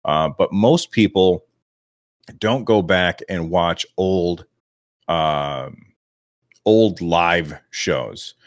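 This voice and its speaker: A man with a deep radio voice, rambling and self-deprecating as a guest on a podcast interview. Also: extremely slow, pauses often, no room sound, clean studio sound. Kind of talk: relaxed interview talk.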